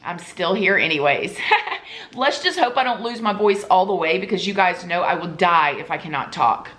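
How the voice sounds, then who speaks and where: A young woman with a drawl talks to camera in a casual, chatty way. Her voice is slightly hoarse, as if she is losing it, and she speaks with earnest, pleading sincerity, addressing viewers like close friends. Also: lowish voice, animated, normal speed, a bit bright. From Florida. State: losing her voice, sore throat. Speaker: YouTube vlogger.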